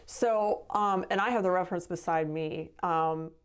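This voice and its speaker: A woman's voice: fairly low pitch, normal speed, smooth. Chatty, rambling woman painter. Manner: chatty, rambling, with laughs and fillers. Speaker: painter hosting a live stream. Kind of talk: casual painting demo chat.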